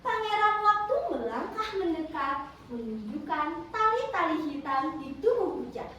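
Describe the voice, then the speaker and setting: A woman telling a children's story as theatrical scripted narration, with dramatic, deliberate phrasing. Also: sits high, sing-song, sharp tone. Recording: roomy, distant mic with background noise.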